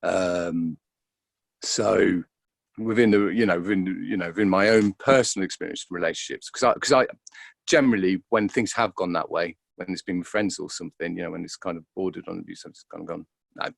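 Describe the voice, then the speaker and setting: A man, an actor being interviewed, talking reflectively and casually in a halting way, often repeating words as he thinks. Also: deep voice, fairly even intonation, vocal fry, dry recording.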